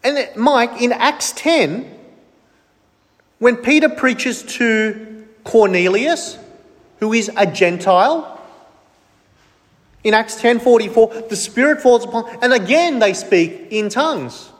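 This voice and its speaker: A man's voice: very high-pitched, animated, takes it slowly, pauses often, long reverb tail. A man preaching a sermon with emphasis, firing questions at the congregation and answering them himself.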